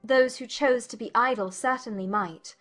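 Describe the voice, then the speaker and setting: Woman playing a composed, higher-voiced young lady. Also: moderate pace, a bit bright, soft background music, close to an everyday voice. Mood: polite, self-assured. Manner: composed, brief, polite answers. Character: composed, polite, candid young English gentlewoman. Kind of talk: dramatized audiobook acting.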